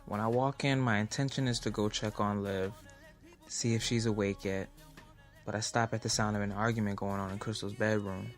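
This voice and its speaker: A man's voice: lowish voice, fairly even intonation, normal speed, natural voice. Actor playing a slangy young American man over music. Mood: tense narration. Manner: casual, slangy American speech. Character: hot-tempered young man, betrayed, narrating his story. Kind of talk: audio drama dialogue and first-person narration.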